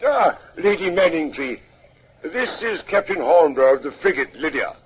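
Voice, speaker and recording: An actor playing a hearty, commanding British admiral with clipped, jovial delivery in a 1950s radio drama. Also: male voice, a little high, lively intonation, normal speed, close to an everyday voice. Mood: bluff, genial. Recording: dull, noisy 1950s radio recording.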